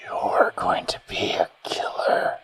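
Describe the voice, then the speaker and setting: An actor voicing a gloating, sadistic demonic entity in a hissing, menacing whisper for a horror audio drama. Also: fairly bright tone. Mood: gleeful menace. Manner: menacing, drawn-out, mocking.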